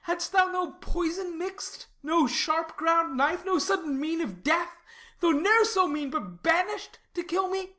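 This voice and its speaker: A woman's voice: extremely high pitch, expressive, a little slow, pushed and bright, full character voice. A woman playing a lovesick, desperate banished youth in a Shakespearean tragedy, with an anguished theatrical delivery. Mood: anguished, bitter. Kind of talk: classical stage tragedy in audio.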